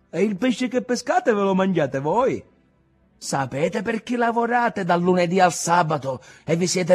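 A man voicing a bitter, rebellious young man in an audiobook, loud and scornful. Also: mid-range voice, husky. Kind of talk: audiobook character reading.

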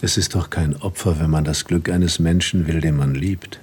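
An aging, devoted husband in a literary radio drama. His voice is deep and very breathy-rough. He speaks gravely and gently, weighing each phrase. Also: steady tone, moderate pace, exaggerated voice. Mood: gentle, sober. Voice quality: husky.

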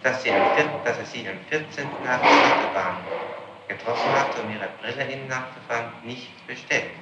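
A man's voice: medium pitch, brisk, highs cut off. A man questioning a suspect as prosecutor, in a formal, methodical and pressing official manner. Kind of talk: formal interrogation. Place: echoey room, archival interrogation tape. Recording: noisy, roomy archival 1960s tape recording.

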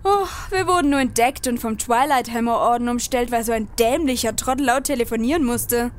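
A woman playing an exasperated, sharp-tongued adventurer in a comedy audio play, talking in a sarcastic, scolding way. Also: a little high, brisk, close to an everyday voice. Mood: annoyed.

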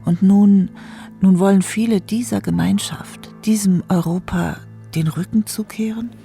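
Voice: mid-range voice, steady tone, a little slow, gravelly, dark tone. A performer voicing a brooding, troubled narrator of a later generation, in an intense, incantatory literary monologue with insistent repetitions. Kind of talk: literary monologue in a documentary radio drama. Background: music.

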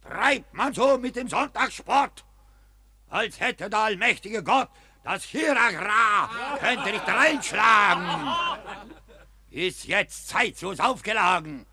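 A man in a 1950s radio play acting an indignant friar who preaches at soldiers in loud, drawn-out, scolding verse. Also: sits high, husky, heavily acted.

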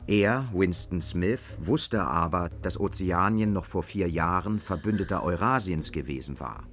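Guy narrating radio play, deep voice, scripted reading, bit of music under it. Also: measured pace, fairly bright tone. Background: faint music.

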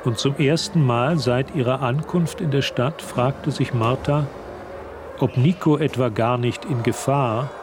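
A man's voice: mid-range voice, a little slow, natural voice. A man reading scripted narration for a radio drama, telling the story as narrator. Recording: clear ambient noise behind voice.

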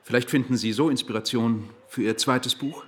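Casting: man as mid-pitched lawyer reading court records aloud, conversational radio drama, slight noise behind. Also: normal speed, fairly bright tone, lightly acted. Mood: wry. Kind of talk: conversational radio play acting.

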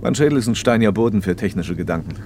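An actor playing a paternal, probing factory manager in a radio play, speaking in a measured, slightly didactic way. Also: male voice, lowish voice, animated, darkish tone, slight background noise. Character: socialist factory manager, paternal, probing, talkative male official. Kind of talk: naturalistic German radio play dialogue.

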